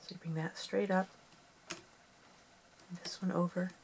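A middle-aged woman with a slightly low, gravelly voice and a sniffly, stuffed-up nose walks through a craft project at her table. She talks in a soft, rambling, homey way. A faint hiss sits behind her. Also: flat delivery, measured pace. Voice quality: gravelly, creaky voice. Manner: talks softly while working with her hands, rambling and homey. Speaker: craft tutorial host. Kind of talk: casual craft tutorial.

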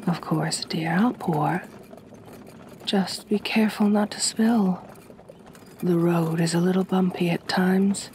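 A woman playing a kind but possessive, aristocratic vampire mistress in a soft-spoken ASMR roleplay, gentle and genteel, often close to a whisper. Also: lowish voice, steady tone, takes it slowly, pauses often, a little hiss or hum. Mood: gentle, soothing. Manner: gentle, soothing, genteel, formal phrasing.

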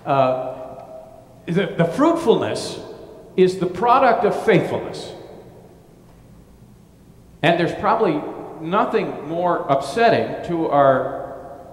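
A middle-aged man preaches in a brick church, his voice pitched a touch high. He talks in an informal, rambling way with restarts and dry humor. The sound bounces off the walls, with a long, roomy reverb trailing each phrase. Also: big pitch swings, slow pace, pauses often. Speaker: pastor. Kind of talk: gospel reading and sermon. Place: brick-walled church sanctuary.

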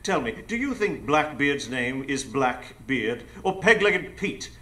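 Pompous, bright-voiced villainous pirate captain. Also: male voice, mid-range voice, big pitch swings, slow, full character voice. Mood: mocking. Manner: theatrical, pompous, mocking. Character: grandiose sneering male pirate captain and criminal mastermind. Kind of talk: live radio drama acting. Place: improv theatre stage before a live audience.

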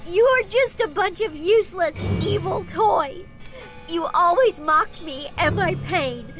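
A woman's voice: very high-pitched, lively intonation, slow, full character voice. A woman playing a spoiled, frightened, spiteful young girl, melodramatic and whiny, in radio horror style. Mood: spiteful, furious. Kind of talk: old-time radio horror acting. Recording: loud music under the voice.

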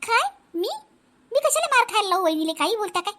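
A woman's voice: very high voice, big pitch swings, a little fast, sharp tone, full character voice. A woman voicing a wronged young wife in a family comedy cartoon, plaintive and indignant, with a Vidarbha Marathi accent. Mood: bewildered. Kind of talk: animated family comedy acting.

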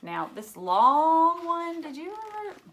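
A woman in her thirties reading a children's book aloud like a teacher, with expressive asides and questions to young listeners. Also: extremely high pitch, measured pace, lo-fi sound. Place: indoors, living room at home.

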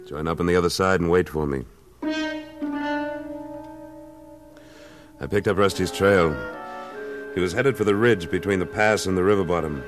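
A male actor as a tough, steady cattle rancher with a low, weighty voice. He talks terse and hard-boiled, like a western gunfighter telling his own story. It is an old radio drama recording with faint music. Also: natural voice. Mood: grim, matter-of-fact. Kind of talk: 1950s radio western acting.

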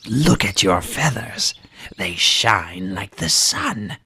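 A sly storybook fox with a deep, very husky voice. He flatters slowly and schemingly, in swooping, drawn-out phrases. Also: heavily acted. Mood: flattering, sly. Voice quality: low gravelly husky. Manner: slow, drawn-out, smooth-talking flattery. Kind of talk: children's storybook character acting.

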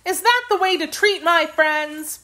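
Grown woman doing a little storybook girl, really high voice, bossy and emphatic. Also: unhurried, sharp tone, exaggerated voice. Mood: stern, scolding. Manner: emphatic, scolding. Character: brave little girl, affectionate but sternly bossy.

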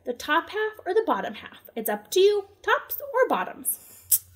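A woman voicing a tricky, clever male hare in a children's story, speaking brightly and coaxingly. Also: extremely high pitch, wildly swooping pitch, measured pace, voice pushed up, heavily acted. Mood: sly.

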